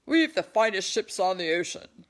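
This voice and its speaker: A woman's voice: medium pitch, animated, normal speed, close to an everyday voice. A woman voicing brash British sailors who bellow like recruiters in an audiobook. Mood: rousing. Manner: loud, declamatory.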